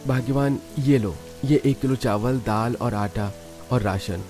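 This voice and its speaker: A man's voice: medium pitch, unhurried, darkish tone, soft background music, natural voice. A man voicing a worried, caring husband and father from a poor village in a cartoon. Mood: careful.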